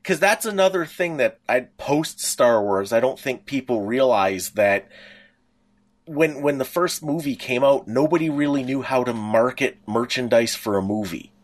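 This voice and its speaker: Middle-aged man, medium pitch, casual fan talk, podcast co-host, rambling, stop-and-start phrasing. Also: lively intonation, normal speed. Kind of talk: casual nostalgic fan chat.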